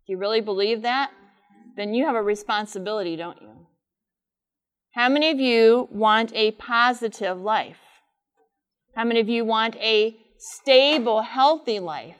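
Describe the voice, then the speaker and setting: A middle-aged woman with a loud voice speaks live to a conference audience, teaching and asking them frequent questions. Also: on the high side, unhurried. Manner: says she has a loud voice. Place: large conference room with air conditioner.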